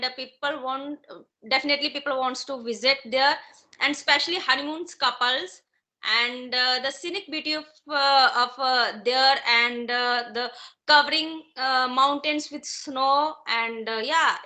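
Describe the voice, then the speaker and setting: A woman in her thirties from India, Hindi her first language, talks in English with a high, bright voice. She is friendly and chatty but halting, and fills her sentences with "uh" as she searches for words. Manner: hesitant, many fillers, friendly and chatty. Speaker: guest, English learner. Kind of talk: casual conversation practice on a call.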